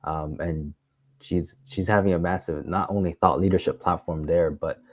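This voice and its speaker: A man hosting a conversational interview, putting his questions in halting, hesitant phrases. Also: deep voice, fairly even intonation, dry recording, studio quality. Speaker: interviewer, show host.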